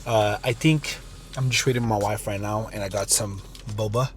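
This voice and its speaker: A man talks into his phone in an easygoing, upbeat vlog, wandering through thoughts with plenty of "uh"s and restarts. His voice sits a little low, and faint ambient sound hums behind him. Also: fairly even intonation, normal speed, a little hiss or hum. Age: 30s. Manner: rambling, upbeat, many fillers and restarts. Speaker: vlogger and online entrepreneur. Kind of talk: casual vlog talk to camera.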